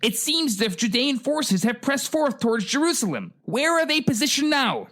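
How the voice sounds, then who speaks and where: Man playing animated, formal news anchor. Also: extremely high pitch, normal speed, full character voice. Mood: grave, formal. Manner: formal announcer delivery. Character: pompous male evening news anchor in ancient kingdom. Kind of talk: parody radio newscast.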